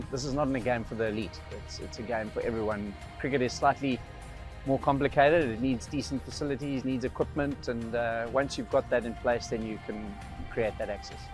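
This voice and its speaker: A man representing a partner organisation, speaking earnestly in a documentary interview with frequent hesitations. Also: medium pitch.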